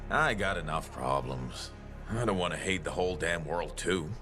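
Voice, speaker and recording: An actor playing a cocky, gruff mechanic freelancer in a video game, boastful, folksy and plain-spoken. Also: male voice, sits low, moderate pace, music in the background, lightly acted. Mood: musing, wry. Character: cocky, gruff mechanic freelancer philosophizing about the world as machine. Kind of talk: video game dialogue acting.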